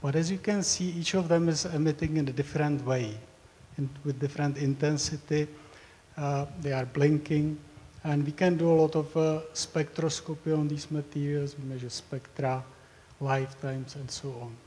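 A man's voice: fairly high pitch, fairly even intonation, moderate pace, warm tone. Hesitant man lecturing in a hall. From Czech Republic. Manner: hesitant, with frequent fillers and repeated words. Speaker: professor giving a research talk. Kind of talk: academic presentation.

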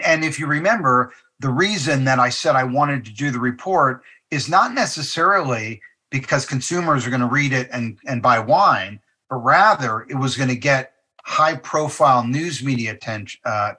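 A man's voice: medium pitch, moderate pace, bright, forceful tone. A man from a winery speaking in a podcast interview, emphatic and promotional. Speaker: winery representative.

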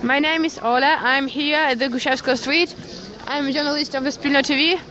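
A young Ukrainian woman with a Ukrainian accent and a high voice reports live into her phone from a cold street. Her English is halting, full of restarts. The sound is dull and lo-fi, with a loud crowd all around her. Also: steady tone. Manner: halting non-native English, many restarts. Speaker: citizen journalist. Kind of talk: live street stream commentary. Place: outdoors on a cold city street at barricades. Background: crowd of protesters.

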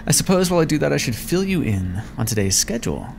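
A man acts out a clinical vampire scientist in an intimate ASMR roleplay. His voice is gravelly and swings freely in pitch as he muses aloud and teases his captive with smug condescension. A clear bed of background noise sits behind him. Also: sing-song, fast talker, a little mellow. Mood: clinical, musing. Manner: condescending, teasing, musing aloud.

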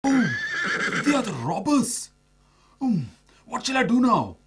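A man voicing a poor, excitable young woodcutter in an animated children's story, full of exclamations. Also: very high-pitched, measured pace, heavy background noise, exaggerated voice. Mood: excited.

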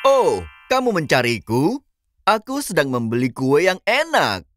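A woman voicing a kindly shark parent in a children's cartoon, speaking expressively and clearly to children. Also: sits low, huge pitch swings, a little slow, no echo, soft background music, heavily acted.

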